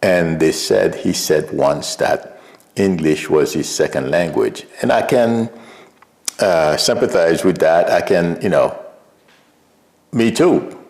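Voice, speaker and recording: Haitian man, Creole native, rambling psychic stream host, low slow gravelly delivery. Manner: rambling, restarts often, soft chuckles. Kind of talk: casual commentary livestream.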